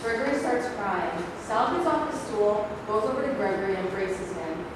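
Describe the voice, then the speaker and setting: Woman reading out stage directions, medium pitch, far from mic, noisy room. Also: lively intonation, normal speed. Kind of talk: scripted narration. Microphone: far camera microphone. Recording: echoey hall, distant camera, noisy.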